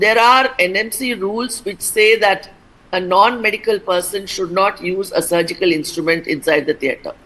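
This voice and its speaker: A woman doctor, answering questions as an expert guest on a news program. She explains medical rules in a careful, measured way, pausing often with "uh" and "you know", at a middle pitch. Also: fairly bright tone, rough recording. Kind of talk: TV interview answers.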